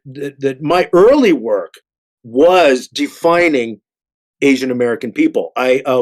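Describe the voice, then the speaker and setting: A middle-aged man, an actor, talks reflectively in a panel discussion, haltingly, often repeating and restarting his words. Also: mid-range voice.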